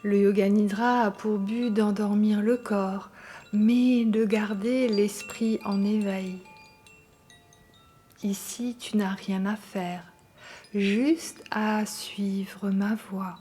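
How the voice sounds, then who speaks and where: A woman guiding a yoga nidra meditation in a calm, soothing and gentle manner. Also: medium pitch, takes it slowly, husky. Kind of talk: guided meditation narration. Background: soft meditation music with chimes.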